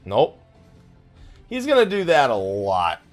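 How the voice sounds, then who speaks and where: A man's voice: mid-range voice, huge pitch swings, slow, faint music behind. A man giving casual let's play commentary, rambling and thinking aloud as he plays. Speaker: gaming YouTuber hosting a let's play.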